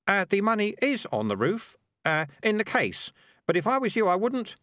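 A man's voice: high voice, sing-song, moderate pace, pushed and bright, no room sound, clean studio sound, voice pushed up, exaggerated voice. A man voicing a guarded young boy who speaks hesitantly and literally in a storybook reading.

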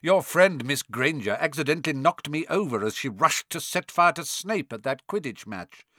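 A man's voice: fairly high pitch, expressive, normal speed, bright, forceful tone, dry recording, studio quality, pitched above the performer's own voice. A man voicing a cold, smug male villain in an audiobook, mocking and coolly casual in his menace. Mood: coldly boasting. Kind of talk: audiobook character acting.

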